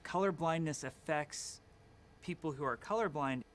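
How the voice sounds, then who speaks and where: Slightly high male voice, faintly noisy clip. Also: moderate pace, fairly bright tone. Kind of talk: short video clip played on stream.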